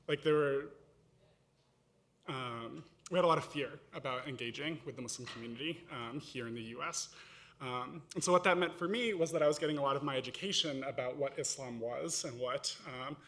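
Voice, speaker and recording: A man's voice: mid-range voice, vocal fry, bright, forceful tone. A man from Morocco speaking as a panelist, casually telling his life story with frequent fillers.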